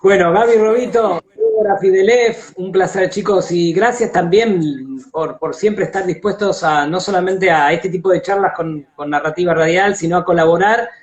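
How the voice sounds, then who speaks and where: A man's voice: sits high, normal speed, few pauses. A man with an Argentine accent hosting a casual live interview, appreciative and wordy, over an internet video call. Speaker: interview host. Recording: dull lo-fi livestream audio.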